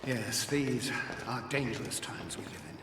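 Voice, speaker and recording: A man's voice: medium pitch, lively intonation, lightly acted. Man acting a grave Roman father, noisy backdrop. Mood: grave, fatherly. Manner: grave, measured, solemn storytelling. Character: stern, noble Roman father and veteran commander. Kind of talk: dramatic video game cutscene acting.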